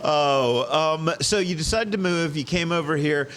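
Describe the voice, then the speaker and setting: A man's voice: fairly high pitch, measured pace, fairly bright tone, slight background noise. Chatty man hosting a food show. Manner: chatty, laughing, curious questions. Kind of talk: casual interview chat.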